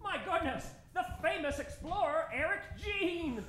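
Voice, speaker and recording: A man's voice: very high-pitched, animated, measured pace, sharp tone, slight background noise, full character voice. A man acting an eccentric, theatrical scientist adventurer in an old-time radio style, with florid, exclamatory delivery. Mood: astonished, excited. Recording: roomy stage sound, faint music behind.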